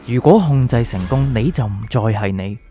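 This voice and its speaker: A man plays a calm, secretive doctor in a Hong Kong Cantonese radio drama. His mellow voice rises and falls widely as he probes and explains in measured, enigmatic phrases, with music underneath. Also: mid-range voice, natural voice. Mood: cryptic, grave. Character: calm, cryptic male doctor with a secret identity.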